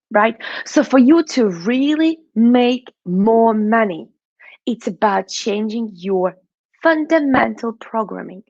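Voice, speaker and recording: A woman's voice: a little high, darkish tone, no room sound, dull audio. A woman with a Russian accent, a money mindset coach giving a motivational talk, putting questions to the listener and checking in with "right?" and "okay?".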